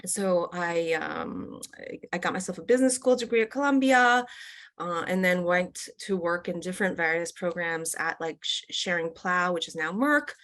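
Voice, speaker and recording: A woman's voice: on the low side, lively intonation, moderate pace, a bit bright. A middle-aged woman from the United States, a company executive chatting casually as an interview guest, with lots of fillers and restarts. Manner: chatty, many fillers and restarts, laughs often.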